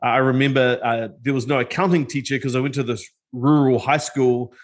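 A man's voice: mid-range voice, fairly even intonation, brisk. A middle-aged man with a New Zealand accent tells his story as an interview guest. He is loose and self-deprecating, and he restarts his sentences often. His voice sits in an ordinary middle range. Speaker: guest, tech company co-founder.

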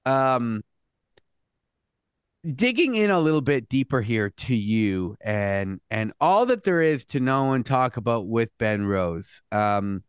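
A man's voice: medium pitch, clean tone, no echo, studio quality. A man hosting a podcast interview, earnest and chatty, with frequent restarts and "mm" sounds. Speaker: podcast host. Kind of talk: casual interview chat.

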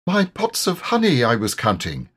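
A man voicing a humble, slow-witted, amiable toy bear in a children's storybook, speaking in a hesitant, earnest way. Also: mid-range voice, wildly swooping pitch, husky, voice pushed up. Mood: humble, muddled. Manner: hesitant, ponderous, simple and earnest.

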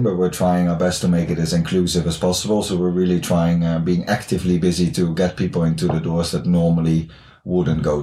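Mellow young Dutch man in a roomy space. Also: low-pitched. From the Netherlands. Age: late 20s. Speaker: podcast guest, gym founder. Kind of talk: casual interview chat.

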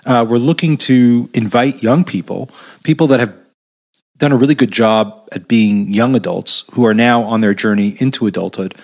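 Male podcast host, deep-ish voice, eager and chatty. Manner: enthusiastic, repeats words and restarts often. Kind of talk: casual interview chat.

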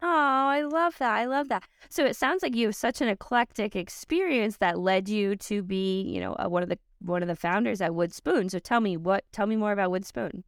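A woman's voice: mid-range voice, moderate pace, few pauses, no echo, studio quality. A woman hosting a business interview podcast, upbeat and encouraging with her guest. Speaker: podcast host.

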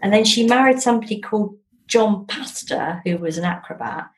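A woman's voice: mid-range voice, animated, a little fast, low-quality recording. A woman with an English accent, telling stories casually and chattily, often breaking off and restarting her phrases as she goes. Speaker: interview guest, novelist. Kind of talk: casual conversational storytelling.

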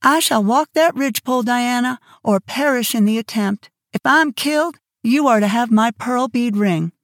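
A woman's voice: on the high side, moderate pace, dry recording, clean studio sound, voice pushed up, close to an everyday voice. A woman voicing a dramatic, imaginative young girl who speaks in earnest, theatrical phrases. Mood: solemn.

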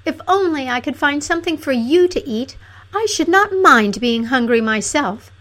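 A woman's voice: high voice, animated, normal speed, a bit bright, voice pushed up. A woman voicing a sweet young fairy tale princess, plaintive, tender and pleading. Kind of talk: fairy tale storybook character.

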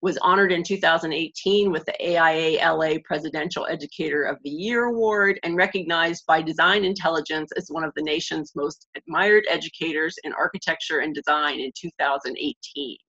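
A middle-aged woman from the United States hosting an interview, chatty and loose, full of "you know" and "like", and quick to laugh. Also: low voice, fairly bright tone, husky. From Pittsburgh area, United States. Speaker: interviewer, host. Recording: dull, muffled lo-fi line.

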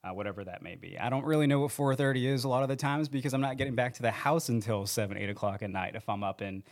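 A man's voice: medium pitch, quick pace. A man, a company training manager, talking casually and earnestly in a podcast studio interview, wandering and correcting himself as he goes. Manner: rambling, self-correcting, earnest. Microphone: handheld studio mic.

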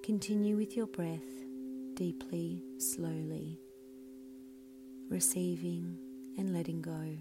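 A woman leading a scripted guided meditation in a soothing, measured way, her phrases often softening into breathiness. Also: on the low side, steady tone. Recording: clearly audible background hum and noise.

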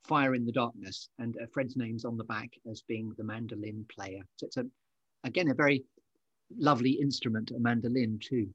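Podcast host: male, husky, chatty and effusive, on a close, slightly lo-fi recording. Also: medium pitch, fairly even intonation, normal speed, no room sound. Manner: effusive, exclamatory. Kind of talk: chatty interview hosting.

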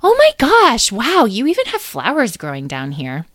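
Woman as a high, gushing little sister creature. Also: moderate pace, no echo, studio quality. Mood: excited, delighted. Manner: excitable, breathless gushing.

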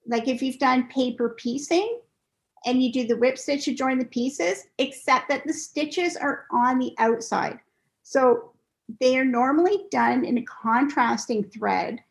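A woman guest on a podcast, telling stories and explaining a sewing craft step by step in a casual, patient way. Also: fairly high pitch. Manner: explains in steps, patient and clear. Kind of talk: casual interview talk.

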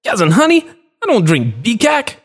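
Man putting on a screechy, high-pitched addict in withdrawal, outraged, audiobook. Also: wildly swooping pitch, moderate pace, bright, forceful tone, full character voice. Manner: screaming, indignant. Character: frantic lumpy man in withdrawal, shrill.

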